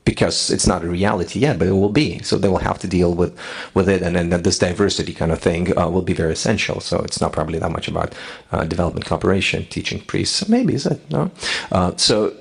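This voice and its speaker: Low, gravelly man from Lithuania, panel speaker, halting and filler-heavy delivery, slightly husky. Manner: hesitant, many fillers and restarts. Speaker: conference panelist. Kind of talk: unscripted panel answer. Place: parliament conference hall.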